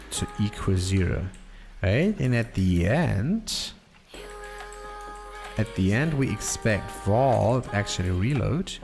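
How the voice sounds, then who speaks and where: A man streaming himself coding, thinking aloud in a slow, halting way and reading code out as he types. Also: fairly low pitch, gravelly. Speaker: programmer streaming live coding.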